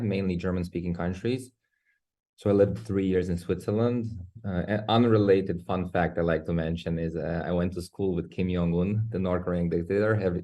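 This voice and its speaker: A Croatian man introducing himself on a panel debate in a relaxed, anecdotal way. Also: deep voice.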